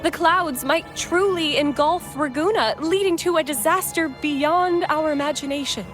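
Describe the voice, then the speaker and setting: An actress playing an earnest, devout young woman of a religious order in a video game, speaking formally and in measured phrases. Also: high voice, music in the background, close to an everyday voice. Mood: grave.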